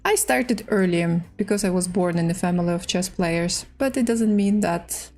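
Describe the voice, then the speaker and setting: A woman with a Russian accent, a native Russian speaker, hosting a casual chess livestream in halting, hesitant English. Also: fairly low pitch, darkish tone, soft background music. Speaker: livestream host and chess player. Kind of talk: casual livestream chat while playing chess.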